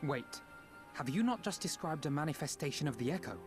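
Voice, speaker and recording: A man's voice: on the high side, lively intonation, a little fast, over music, close to an everyday voice. An actor playing a polite, learned young nobleman in a video game, articulate and formal. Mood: earnest. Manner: formal, articulate, courteous phrasing. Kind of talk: video game dialogue acting.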